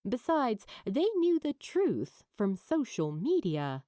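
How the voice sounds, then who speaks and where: A woman narrating fiction from a script in a measured, expressive storytelling manner. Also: on the high side, no room sound, clean studio sound. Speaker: amateur audiobook narrator.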